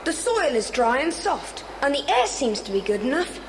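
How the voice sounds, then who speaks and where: A woman playing a plucky, practical young boy in a children's audio adventure, brisk and exclamatory as the figure takes charge. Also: sits high, big pitch swings. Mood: relieved, practical. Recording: noisy, reverberant old cassette dramatisation.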